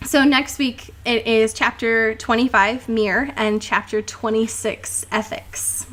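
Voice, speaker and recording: High-voiced young woman chatting casually. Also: fairly even intonation, unhurried, fairly bright tone. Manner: informal, sweary, with frequent "like". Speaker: podcast co-host. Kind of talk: casual chat, recapping a novel.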